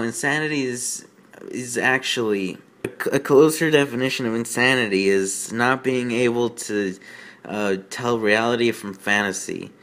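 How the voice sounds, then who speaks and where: A man hosting a fan fiction reading, giving mocking off-the-cuff commentary in an exasperated, incredulous, halting manner with stammered repeats. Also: mid-range voice, fairly bright tone.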